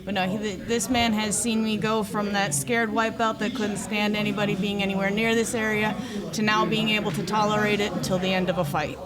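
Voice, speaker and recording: A woman's voice: medium pitch, level tone, fairly quick. A woman in her thirties, a podcast guest telling a personal story in an earnest, candid way. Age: 30s. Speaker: podcast guest, jiu-jitsu athlete. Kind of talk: casual podcast chat. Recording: loud crowd chatter in room.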